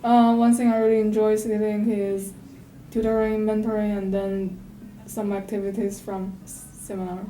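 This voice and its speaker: A young woman from China speaks haltingly in a flat, mellow voice. The room adds echo over faint hiss. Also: medium pitch. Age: young adult. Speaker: graduating senior. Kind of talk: casual video introduction.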